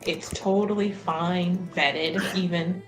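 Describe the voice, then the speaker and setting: A woman's voice: fairly low pitch, steady tone, slow, music in the background. A woman playing a tabletop roleplaying game, speaking casually and tentatively as she improvises. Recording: roomy echo.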